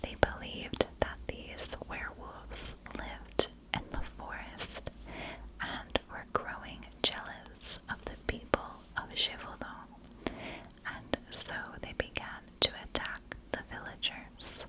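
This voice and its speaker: A woman narrating a scripted tale in ASMR style, in a hushed and deliberate storytelling manner. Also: low-quality recording. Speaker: ASMR storyteller and channel host. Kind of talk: whispered ASMR scripted narration of a historical legend.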